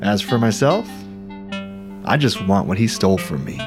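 Wry thriller narrator over guitar music. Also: male voice, lowish voice, sing-song. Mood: dramatic. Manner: dramatic, sardonic, deliberate emphasis. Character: wry, aggrieved male narrator investigating a dark mystery. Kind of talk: scripted first-person thriller narration.

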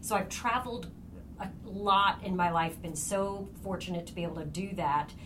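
A woman's voice: on the low side, normal speed. A middle-aged woman with an American accent, telling personal stories casually as a podcast guest. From born in Kenya, raised partly in Tanzania and the US. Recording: clear background noise.

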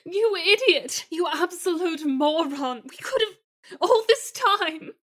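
A woman's voice: very high-pitched, expressive, slow pace, fairly bright tone, full character voice. A woman voicing a tender woman reunited with her lost lover in a fan fiction podcast, speaking in careful, formal phrases full of feeling. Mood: anguished, exasperated. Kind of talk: dramatised fan fiction reading.

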